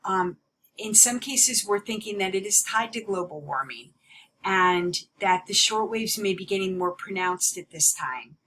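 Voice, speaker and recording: A woman giving an explanatory slide lecture as a teacher, stressing key words in a deliberate way. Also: medium pitch, pushed and bright, slight background noise, poor audio.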